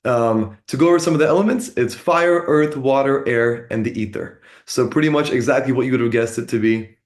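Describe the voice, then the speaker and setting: A young man from San Diego, California, hosting an online meditation program in a relaxed, friendly way with lots of uh and um. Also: medium pitch, fairly quick. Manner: relaxed, friendly, with many fillers like uh and um. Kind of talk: casual spoken introduction to a meditation session.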